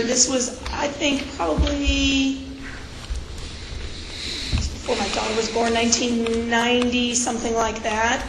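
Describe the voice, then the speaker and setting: Hoarse woman testifying firmly. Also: fairly high pitch. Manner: firm, measured answers. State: hoarse from a cold. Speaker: character witness, attorney. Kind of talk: sworn testimony at a hearing. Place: hearing room. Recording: echoey room with background noise.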